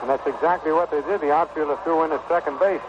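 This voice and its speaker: Muffled 1960s radio recording, American male sports announcer, slightly high pitch, measured short calls, baseball commentary. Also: steady tone. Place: stadium broadcast booth. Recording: noisy dull vintage 1960 radio broadcast.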